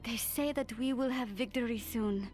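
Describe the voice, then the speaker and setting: A woman's voice: fairly high pitch, normal speed, a little mellow, lightly acted. An actress playing a loving young Russian wife and new mother, tenderly voicing a letter in a game cutscene. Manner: tender, affectionate. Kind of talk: letter read as game voice-over. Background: music.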